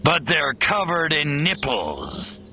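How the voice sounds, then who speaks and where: A man voicing a cocky, trigger-happy little alien invader in a video game, gruff and sarcastic like a wisecracking tough guy, with music underneath. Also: a little high, wildly swooping pitch, takes it slowly, sharp tone, slight background noise, heavily acted. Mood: sarcastic, disgusted. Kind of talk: video game cutscene acting.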